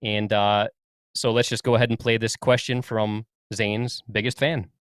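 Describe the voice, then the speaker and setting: A man's voice: fairly low pitch, level tone, moderate pace, smooth, no room sound. Chatty man co-hosting a dry-sounding podcast. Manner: chatty, laughs easily. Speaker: podcast co-host. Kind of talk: casual podcast chat.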